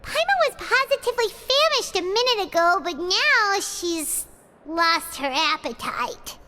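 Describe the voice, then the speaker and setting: Very high, squeaky excitable little fairy girl. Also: measured pace, noisy background, heavily acted. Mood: indignant, worried. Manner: whiny, exclaiming, speaks of herself in third person. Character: tiny excitable floating fairy-like girl companion, always hungry. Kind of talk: anime-style video game voice acting.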